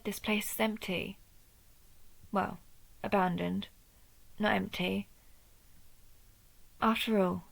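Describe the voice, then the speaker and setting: A woman playing an injured vampire who hunts her own kind, protective, proud and wry, in a measured, intimate audio roleplay. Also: medium pitch, vocal fry, low-quality recording. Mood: firm, reluctant. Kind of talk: scripted audio roleplay addressed to the listener.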